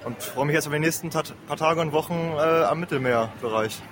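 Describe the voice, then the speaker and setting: A man's voice: on the high side, fairly quick. A young man answering casually in an interview, hesitant and searching for words. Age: young adult. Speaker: interviewee, travelling craftsman. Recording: outdoors amid crowd noise.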